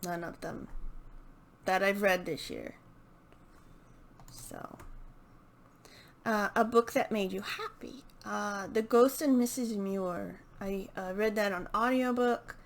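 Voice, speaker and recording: Slow-talking woman with a creaky voice. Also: mid-range voice. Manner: hesitant, halting, thinking aloud with trailing pauses. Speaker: book vlogger. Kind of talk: casual unscripted chat to camera.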